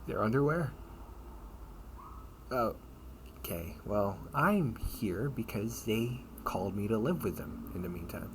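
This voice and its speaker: Man acting a slow-talking, sardonic, menacing older brother. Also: fairly low pitch, animated. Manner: casual, halting, mocking, breathy asides. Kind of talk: amateur audio roleplay acting, one-sided dialogue. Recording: noisy amateur home recording.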